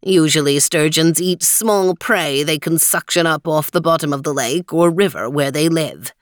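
A woman's voice: sits low, normal speed, no room sound, studio quality, lightly acted. A woman doing a chatty old male inventor. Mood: amused. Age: older. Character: old male inventor on a screen, cheerful know-it-all.

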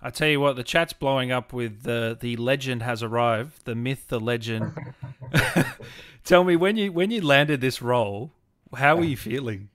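A man's voice: medium pitch, expressive, moderate pace, a bit bright. A man hosting a casual interview, asking friendly, upbeat questions. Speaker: host.

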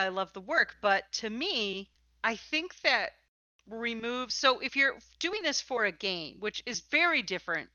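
A woman's voice: mid-range voice, bright, forceful tone, no echo, dull audio. A woman hosting a conversational interview about tabletop games, speaking in an emphatic, opinionated way. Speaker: podcast host and interviewer.

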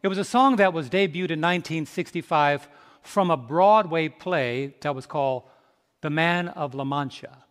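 A man in his fifties with a high voice preaches to his congregation, tossing questions out for them to answer. He drops to a slow, quiet hush and then climbs into loud, ringing exhortation. His words hang in the long reverb of a large hall. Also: clear, resonant tone. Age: 50s. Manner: swings from soft, slow reflection to loud, rising exhortation. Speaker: pastor. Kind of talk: sermon.

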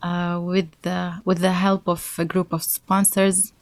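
A young woman from Syria, a band guest in an interview, who answers in a halting way with many fillers and restarts. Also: on the low side, level tone, no echo.

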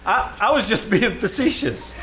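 Man playing high, bright, wisecracking sidekick. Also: normal speed. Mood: sardonic. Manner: sardonic, quippy. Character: wry, wisecracking male tavern waiter, skeptical sidekick. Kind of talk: old-time radio comedy acting. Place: radio theater stage. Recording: live stage show, faint noise.

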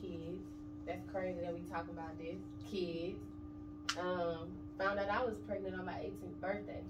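A woman's voice: lowish voice, level tone, moderate pace. A woman in her thirties, an interview guest talking casually and bluntly about her kids and money. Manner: blunt, streetwise, emphatic. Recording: echoey room, distant mic, noisy background.

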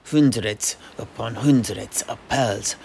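A man playing a mercenary knight who retells a seafaring family tale in a measured, dramatic way with pauses. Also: lowish voice, vocal fry, a little mellow, a little hiss or hum. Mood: storytelling. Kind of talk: in-character storytelling for a fantasy audio play.

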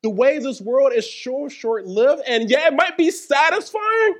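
A man's voice: very high-pitched, animated, measured pace, fairly bright tone. A male pastor preaching in a church service, playful and joking, calling out to the congregation. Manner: playful, jokey, calls to the congregation. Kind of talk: sermon.

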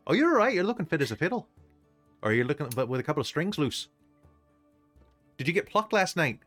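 A man's voice: fairly high pitch, very animated, fast talker, a bit bright, soft background music, voice pushed up. A man voicing a cheery, chatty woman shopkeeper with a Canadian accent who ends her lines with "eh". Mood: teasing. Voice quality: folksy, teasing, ends lines with "eh".

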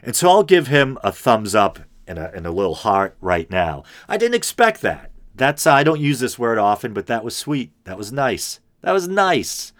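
A middle-aged man hosting a podcast alone, talking in a low, droning, semi-gravelly voice, rambling and self-deprecating with many ums and restarts. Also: sing-song, normal speed, hardly any pauses, a bit bright. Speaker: podcast host. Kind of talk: casual solo podcast monologue.

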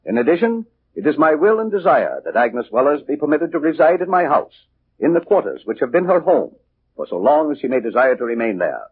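An actor playing a formal, firm lawyer in a 1940s radio thriller. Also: male voice, fairly high pitch, sing-song, brisk, breathy edge. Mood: solemn, formal. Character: formal, firm male lawyer reading a will. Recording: muffled, narrowband 1940s radio recording.

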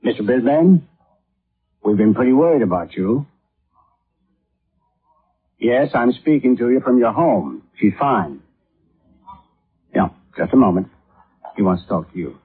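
A no-nonsense cop sergeant on an old radio play, raspy, direct, businesslike, really tinny audio. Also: male voice, medium pitch, animated, unhurried, long pauses. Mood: firm, loud. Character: brisk, official male police sergeant, steady and businesslike. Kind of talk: 1950s radio drama acting. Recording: muffled, tinny vintage radio recording.

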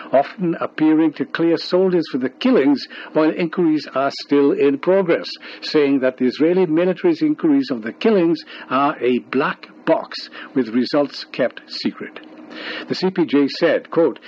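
A man with a slightly high voice reads a scripted news report. He works as a radio news reader. The sound is dull and lo-fi, like a shortwave broadcast.

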